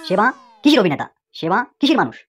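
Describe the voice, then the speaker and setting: A woman voicing an angry, swaggering man in a comic cartoon dub, ranting with slangy tags in a husky, rough voice. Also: mid-range voice, sing-song, rapid-fire, noisy background, close to an everyday voice. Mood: indignant.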